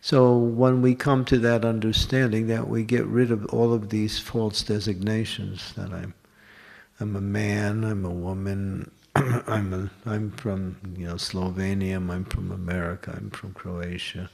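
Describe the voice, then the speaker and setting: Low-voiced man with a gravelly edge, teaching scripture, explains patiently through rhetorical questions. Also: flat delivery, a little slow, darkish tone. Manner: explanatory, asks and answers his own questions; lists examples in short runs. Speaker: lecturer at a temple class. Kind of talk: scripture class talk.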